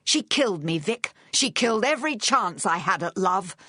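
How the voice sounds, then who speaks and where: Woman putting on an unhinged, bitter man, pitched up. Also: big pitch swings, normal speed, bright, forceful tone, dry recording, studio quality, exaggerated voice. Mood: anguished, bitter. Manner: snarling, furious breathy rush. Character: deranged, bitter, frail man bent on revenge.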